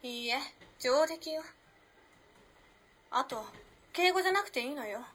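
A woman's voice: sits high, expressive, measured pace, a bit bright. An actress playing a poised, refined young modern woman of the early 1900s in a radio drama, composed and knowing. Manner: composed, elegant, old-fashioned feminine speech. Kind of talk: radio drama acting, storytelling.

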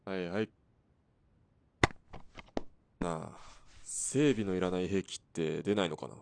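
A man's voice: low-pitched, moderate pace, creaky, heavy background noise. A man playing a laconic, brooding sharpshooter in a Japanese radio drama, with a laid-back, terse and evasive manner. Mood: offhand. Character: laconic, brooding male sharpshooter, nonchalant smoker.

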